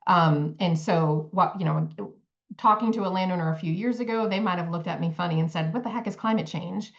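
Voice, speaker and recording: A woman's voice: lowish voice, brisk. A woman answering conversationally as a panelist, heard over slightly dull video-call audio. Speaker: panelist working with forest landowners.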